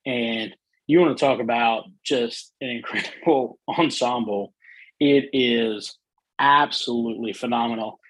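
Soothing husky-voiced man, dry close mic. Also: mid-range voice, unhurried, fairly bright tone, dry recording, rough recording. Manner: enthusiastic, many fillers and restarts. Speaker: guest. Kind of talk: casual interview chat.

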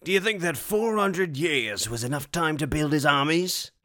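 Man playing a forceful, grim warrior lord. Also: mid-range voice, expressive, a little slow, no echo, clean studio sound, exaggerated voice. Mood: defiant. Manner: solemn archaic phrasing. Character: bold, grim male warrior lord of high fantasy.

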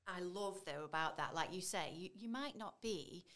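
A woman in her thirties with a deep, husky voice, chatting as a voiceover artist on a podcast, bubbly and animated. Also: moderate pace. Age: 30s. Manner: bubbly and animated, laughs often. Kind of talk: casual podcast interview.

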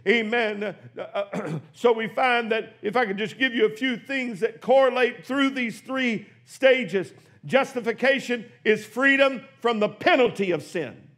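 Older guy preaching in his 60s, high voice, goes quiet then shouts, church sermon. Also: unhurried, few pauses. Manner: preaching with swings from hushed asides to shouted exclamations. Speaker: pastor. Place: church sanctuary.

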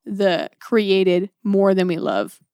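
A woman's voice: mid-range voice, lively intonation, slow pace, warm tone, clean tone, no echo. Woman, mid-range voice, earnest and reflective, conversational faith discussion, repeats phrases for emphasis, dry sound. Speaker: co-host of a book discussion series.

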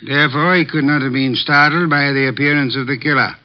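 A man's voice: medium pitch, fairly even intonation, a little fast, close to an everyday voice. A man playing a pompous, formal detective. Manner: formal, pedantic, commanding. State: short of breath. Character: pompous stout male detective, precise and commanding. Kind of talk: 1950s radio drama acting. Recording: muffled old radio broadcast.

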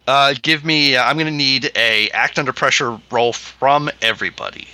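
A man narrating as game master, with a very bright, cutting voice and a relaxed, hesitant delivery. He sounds dry and close to the mic. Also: mid-range voice, moderate pace, no room sound. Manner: laid-back, hesitant, with many ums.

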